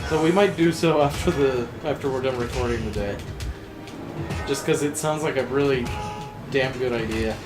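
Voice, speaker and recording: A man's voice: mid-range voice, moderate pace, reverberant. A man co-hosting a let's play, chatting casually and joking with lots of fillers while game music plays. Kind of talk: casual gameplay commentary.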